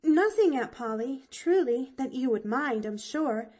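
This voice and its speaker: Woman reading as a chattering little girl, high and lively, slow and eager, folksy. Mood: earnest. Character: cheerful talkative little girl. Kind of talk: audiobook reading.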